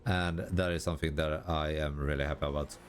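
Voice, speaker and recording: Low-voiced Swedish man chatting over gameplay. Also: steady tone, a little hiss or hum. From Sweden. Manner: rambling, halting, self-correcting, laughs at himself. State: tired. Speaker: gaming YouTuber. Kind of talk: casual commentary while gaming.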